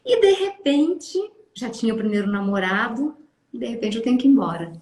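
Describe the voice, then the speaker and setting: A Brazilian woman in her fifties, a therapist telling her life story in an interview, lively and expressive, often tagging phrases with a questioning aside. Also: fairly high pitch, a little fast. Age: 50s. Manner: animated, acts out quoted voices, frequent "né?". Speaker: guest, family constellation therapist. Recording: echoey room.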